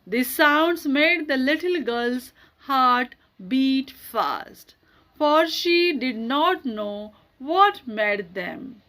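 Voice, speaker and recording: Female children's storyteller, high pitch, very animated melody, reading slowly. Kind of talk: children's audiobook narration.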